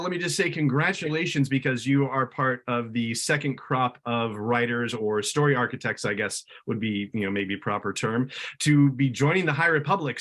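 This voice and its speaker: A man's voice: medium pitch, fairly bright tone. Podcast host, male, middle-aged, mid-range voice, presenting and interviewing in a friendly style. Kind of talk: scripted introduction and friendly interview chat.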